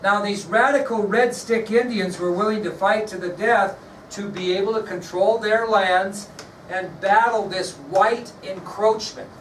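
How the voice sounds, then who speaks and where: A man's voice: sits high, unhurried, fairly bright tone. An American man lecturing as a history teacher, explaining things to his class and repeating key phrases for emphasis. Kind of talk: classroom lecture with questions to students. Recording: echoey room, distant mic, background noise.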